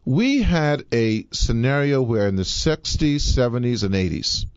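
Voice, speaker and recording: A man hosts a live radio talk show, speaking with theatrical emphasis and a playful streak. His pitch rises and falls in lively swoops, and the dry, dull lo-fi sound is close and plain. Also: a little slow, clean tone, dry recording.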